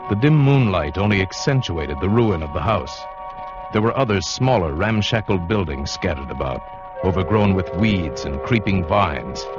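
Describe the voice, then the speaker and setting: An actor in a 1940s radio drama playing a thoughtful, courteous yachtsman hero with a measured, literary, brooding delivery. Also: sits low, animated. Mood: brooding, uneasy. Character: thoughtful yachtsman adventurer, uneasy, romantic, courteous narrator-hero. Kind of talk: 1940s radio drama acting, first-person narration. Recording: muffled 1940s radio broadcast with music.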